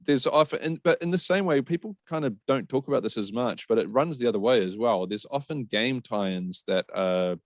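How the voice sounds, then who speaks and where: A man's voice: medium pitch, expressive, a little fast, hardly any pauses, no room sound, studio quality. A man giving blunt opinions as a panelist in a casual podcast chat.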